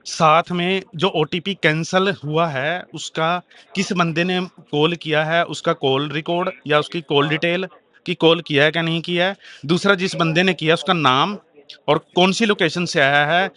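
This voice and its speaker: Insistent man, bright forceful voice. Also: on the high side, moderate pace. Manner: insistent, argumentative, repeats demands. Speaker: customer making a complaint. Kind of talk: heated phone complaint.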